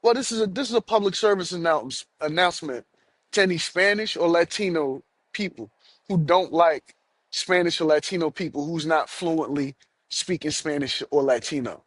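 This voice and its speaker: A man hosts his own podcast, ranting and cursing in an angry stream. His voice sits high for a man, and he stumbles over repeated words as he pushes his point. The sound is close and dry, with no room around it. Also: no echo. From United States. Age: adult, has children. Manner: angry, profane, repeats himself. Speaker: podcast host. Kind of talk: solo podcast rant.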